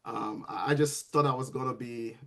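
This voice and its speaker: A man, a nurse guesting on a podcast, telling his story in a lively way with frequent restarts. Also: medium pitch, moderate pace, gravelly, background noise. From Sierra Leone. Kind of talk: casual storytelling interview.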